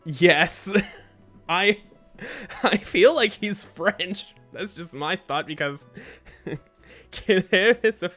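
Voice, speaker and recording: A man's voice: sits high, extremely slow, long pauses, bright, forceful tone. A man streaming a game with casual banter, self-mocking and sweary, often breaking into giggles. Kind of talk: let's play with casual banter and read-aloud character voices. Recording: music behind the voice. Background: game music.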